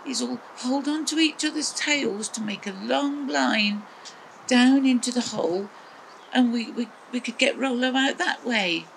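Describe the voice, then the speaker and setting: A woman's voice: on the high side, measured pace, vocal fry, a little hiss or hum, voice pushed up, rough recording. An English woman voicing a helpful little female cat in a children's story, eager to share an idea.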